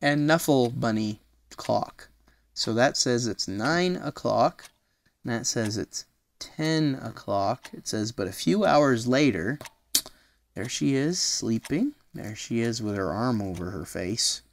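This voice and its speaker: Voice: mid-range voice, takes it slowly. A storyteller reading a picture book aloud expressively, with casual asides that explain things simply, as to a small child.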